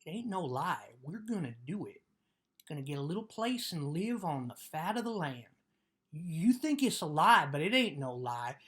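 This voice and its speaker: A woman playing a simple, trusting male American ranch laborer in a dramatic reading, speaking in earnest, halting rural vernacular. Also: low voice, sing-song, fairly bright tone. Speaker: actor.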